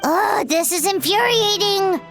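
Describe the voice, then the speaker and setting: A woman voicing a small, excitable childlike fairy companion in a video game, squeaky, expressive and eager. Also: measured pace, over music, heavily acted. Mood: frustrated. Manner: exclamatory, expressive.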